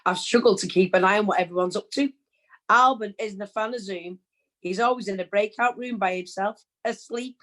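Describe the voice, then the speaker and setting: A sardonic manager, played by an actress, with a woman's medium-pitched voice. She is dry and pointed in an everyday conversational delivery. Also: normal speed, a bit bright, lightly acted. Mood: wry. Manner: wry, pointed.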